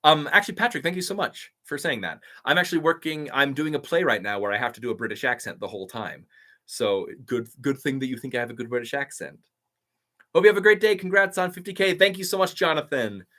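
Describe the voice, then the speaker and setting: Upbeat, bright-voiced man chatting live. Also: medium pitch, animated. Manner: upbeat, chatty, quick to laugh. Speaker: YouTuber and voice actor. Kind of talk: live-stream chat reading comments.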